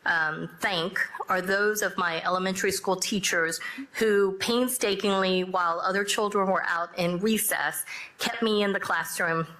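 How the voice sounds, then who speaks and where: A middle-aged woman from South Korea, whose first language is Korean, speaking as a judge and panelist, telling her story in a measured, reflective way. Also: mid-range voice, steady tone, a bit bright, rough recording. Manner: measured and reflective, with pauses and fillers.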